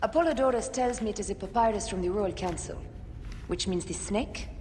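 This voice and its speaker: A woman voicing a fierce but loving assassin and avenging wife in a video game cutscene, with an intense, measured delivery. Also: medium pitch, lightly acted. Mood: resolute. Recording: reverberant hall, loud ambient noise.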